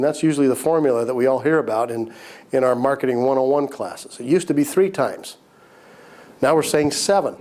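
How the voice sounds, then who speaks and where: Man with a raspy voice from a cold. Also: medium pitch, a little mellow. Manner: conversational, repeats key points, frequent throat clearing. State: recovering from a cold, slightly raspy. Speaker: business course instructor. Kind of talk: recorded lecture to entrepreneurs.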